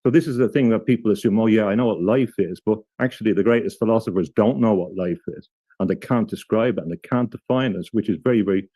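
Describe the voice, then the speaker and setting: A man with a lowish, mellow voice talks through ideas in a measured, halting way, often backing up and restarting mid-sentence. He speaks as an interview guest, and the sound is dry and close. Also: no echo. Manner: thoughtful, halting, frequent restarts. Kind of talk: explanatory interview talk.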